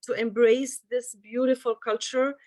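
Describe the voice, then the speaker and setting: A woman's voice: a little high, little pitch movement, measured pace, darkish tone. A woman from Lebanon with a Lebanese accent, presenting slides on a video call in a hesitant way with many fillers.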